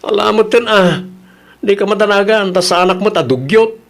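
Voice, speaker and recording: A man's voice: high-pitched, moderate pace, gravelly. A man playing a cheeky, idle young Filipino brother who freeloads and talks back flippantly in an audio drama. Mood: wheedling. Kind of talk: dramatised audio story acting.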